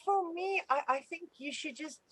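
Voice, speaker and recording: A woman's voice: very high-pitched, moderate pace, no room sound. A woman whose first language is Afrikaans, a homeschooling mother answering interview questions in an earnest, grateful way, often restarting her sentences.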